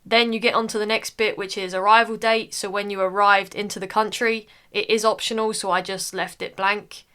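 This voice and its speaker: A woman's voice: mid-range voice, level tone, a little fast, sharp tone, smooth. A young woman from the United Kingdom talking casually through an online form, with frequent "uh" fillers. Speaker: tutorial narrator. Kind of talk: casual screen-recording walkthrough.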